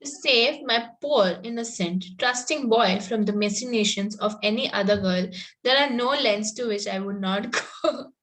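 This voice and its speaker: High pitch, woman acting innocent barbed young girl, polite, slightly halting, amateur comedy table read. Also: fairly bright tone, natural voice, rough recording. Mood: sweetly barbed.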